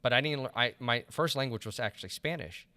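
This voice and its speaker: A man's voice: mid-range voice, brisk, bright, forceful tone. A man in his late thirties hosting a casual interview, rambling and often checking in with "right?". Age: late 30s. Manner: rambling, frequent "right?" check-ins and restarts. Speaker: podcast host.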